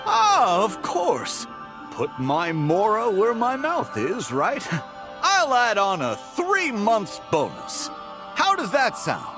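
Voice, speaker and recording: A man playing a demanding, condescending office boss in an animated game cutscene, breezy and wheedling. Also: sits high, slow pace, exaggerated voice. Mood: flustered, placating. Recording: music behind the voice.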